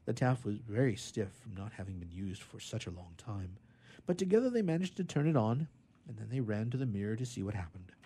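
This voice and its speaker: Animated male storyteller with a mellow tone. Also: mid-range voice, breathy edge. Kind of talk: expressive children's story narration.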